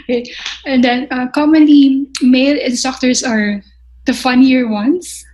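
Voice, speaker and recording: A woman's voice: sits high, steady tone, measured pace, darkish tone, poor audio. A young woman chef instructor, answering podcast interview questions thoughtfully with frequent restarts. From Philippines. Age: young adult. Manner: thoughtful, hesitant with many restarts.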